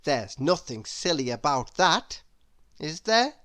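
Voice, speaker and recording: Slow, expressive woman reading a storybook. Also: sits low. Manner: measured, expressive read-aloud delivery. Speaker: storybook reader. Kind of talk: scripted children's story narration.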